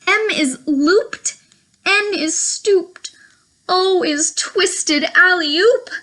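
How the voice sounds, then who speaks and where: A young adult woman presenting a children's storytime, reading a picture book aloud in a slow, sing-song, very animated way, with playful whispers. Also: very high voice.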